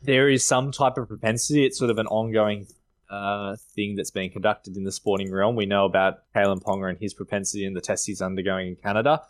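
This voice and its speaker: A man's voice: lowish voice, little pitch movement, a little fast. An Australian man co-hosting a sports podcast, giving injury analysis in a matter-of-fact, clinical way. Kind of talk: fantasy rugby league analysis.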